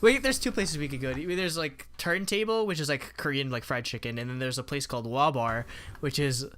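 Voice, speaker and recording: A man's voice: mid-range voice, fairly quick, a bit bright, slight background noise. A man in his twenties co-hosting a podcast, rambling in casual chat with lots of fillers and laughs. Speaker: podcast co-host.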